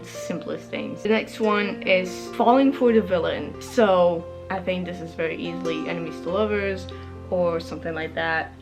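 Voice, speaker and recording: A young woman, a native French speaker, casually explaining reading prompts, rambling and correcting herself, laughing often. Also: lowish voice. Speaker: book vlogger.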